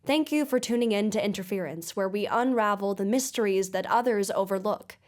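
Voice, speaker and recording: A woman's voice: mid-range voice, a little fast, close to an everyday voice. A woman playing a probing investigative mystery show host in an audio drama, speaking in a polished, formal, inquisitive manner. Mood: intriguing, earnest. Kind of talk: audio-drama broadcast interview and narration.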